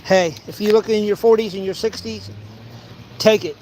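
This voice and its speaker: High-voiced man in his forties, chatting casually. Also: steady tone, moderate pace, darkish tone, poor audio. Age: 40s. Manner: rambling anecdotes, acting out quoted exchanges. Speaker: fishing vlogger and livestreamer. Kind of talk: casual chat while fishing. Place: outdoors by a pond. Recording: some outdoor ambient noise.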